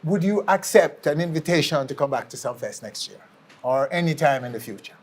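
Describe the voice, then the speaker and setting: A man with a Jamaican accent and a fairly high voice hosts an interview. He probes with questions and repeats answers back as he goes. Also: expressive, fairly quick, slightly rough. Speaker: interview show host. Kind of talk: onstage-style television interview.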